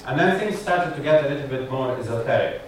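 A man's voice: medium pitch, animated, fast talker. Wry Lebanese man lecturing in echoey hall. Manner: wry, joking asides to the audience. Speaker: guest speaker, satirist. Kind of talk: humorous public lecture. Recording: echoey hall, distant mic, audience noise.

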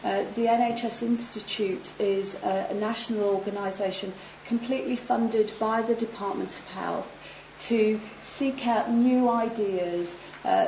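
A woman speaking on a conference panel, croaky as she recovers from laryngitis. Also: mid-range voice, reverberant, dull audio, noisy background. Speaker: panel speaker, nurse turned health manager. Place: conference hall.

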